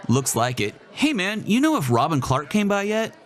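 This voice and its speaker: An actor playing a cocky, charming teenage quarterback, casual and confident, in an audio horror story. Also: male voice, sits high, sing-song, normal speed. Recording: party crowd noise behind.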